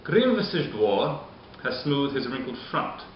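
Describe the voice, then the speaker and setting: A man acts out a bitter, deformed nobleman scheming his way through a Shakespearean stage monologue. He declaims slowly and deliberately, leaving dramatic pauses. The sound is muffled and very echoey, with a faint hiss. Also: medium pitch, very animated. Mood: grand, sardonic. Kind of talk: theatrical Shakespearean monologue recitation.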